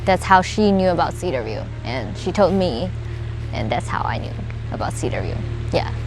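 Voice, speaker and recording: A young woman from China with Chinese as her first language, a college student answering interview questions in a halting, filler-heavy way. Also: low voice, big pitch swings, over music, rough recording. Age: young adult. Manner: hesitant, many fillers and restarts.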